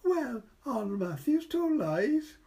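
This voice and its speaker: High-voiced, cheeky little boy. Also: sing-song, takes it slowly, vocal fry, mellow, exaggerated voice. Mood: defiant, sing-song.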